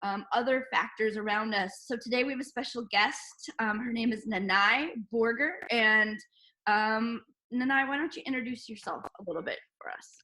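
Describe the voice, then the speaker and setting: A woman hosting a conversational interview, halting and repeating words as she forms her questions. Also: a little high, pushed and bright, low-quality recording. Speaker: interview host; movement practitioner.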